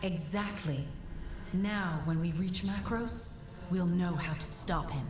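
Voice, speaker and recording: Echoing, low-pitched guiding construct. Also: female voice, slow pace, background noise. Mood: resolute. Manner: composed, formal, instructive. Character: calm, devoted female construct guiding a warrior. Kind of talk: fantasy video game acting. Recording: echoing, with loud music. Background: game music.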